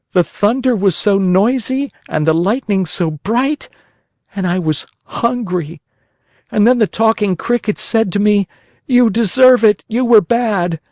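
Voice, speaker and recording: A tearful little wooden puppet boy, pitched far above a grown man's voice. His pleas whine and climb in a lively sing-song, and the sound is close and dry. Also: no room sound. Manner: high-pitched, whining, sobbing pleas.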